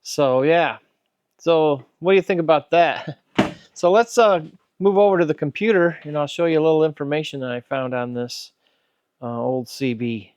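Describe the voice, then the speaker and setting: Male hobbyist host, 60s, fairly high clean voice, unscripted rambling show-and-tell, close dry sound. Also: normal speed, a little mellow, clear, resonant tone, no echo, lo-fi sound. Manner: rambling, musing aloud. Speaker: host, hobbyist radio restorer. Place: indoor electronics workbench.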